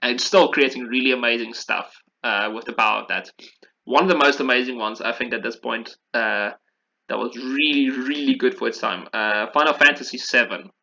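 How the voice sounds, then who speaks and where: A man's voice: medium pitch, moderate pace, creaky, pushed and bright, highs cut off, slight background noise. A man hosting a podcast, talking casually and with opinions about video games, often repeating phrases for emphasis. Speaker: podcast host.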